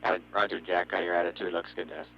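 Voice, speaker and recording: A man's voice: sits low, flat delivery, fast, a little hiss or hum. A man as ground control communicator, speaking briefly and procedurally to a spacecraft crew. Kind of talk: radio exchange with spacecraft. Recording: dull radio transmission.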